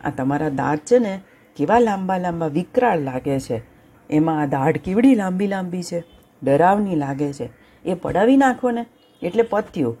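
Brief: woman playing a sly teenage village girl, sweet and teasing, mellow and a little husky. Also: lowish voice, expressive, moderate pace. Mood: coaxing. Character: brave clever teenage farm girl.

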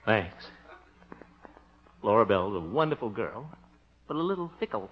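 Blustering, swooping small-town crooner. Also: male voice, fairly low pitch, big pitch swings, measured pace. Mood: sheepish. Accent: American. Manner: theatrical, blustery, chuckling. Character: blustering, wisecracking small-town radio crooner, a comic suitor. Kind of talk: 1950s radio comedy acting. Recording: muffled vintage radio recording, music.

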